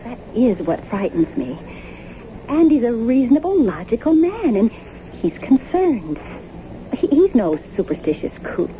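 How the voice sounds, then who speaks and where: A woman's voice: on the high side, big pitch swings, unhurried. An American woman acting in an old-time radio drama as an earnest young wife, anxious but curious. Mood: uneasy, earnest. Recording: muffled, noisy radio drama broadcast.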